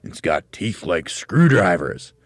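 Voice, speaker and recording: A deep-voiced, mean old storybook husband, played by a man, grumpy and scheming. He stretches his words out slowly and with menace. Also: very animated, dry recording, clean studio sound, exaggerated voice. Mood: gleefully menacing. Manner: drawn-out, menacing delivery. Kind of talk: children's storybook character voice.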